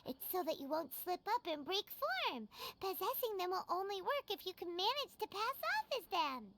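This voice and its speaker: A squeaky, lively little female game companion, animated delivery. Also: moderate pace, very noisy, exaggerated voice. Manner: squeaky, bouncy, exclamatory. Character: tiny chatty floating female companion, excitable. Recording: game audio with background music.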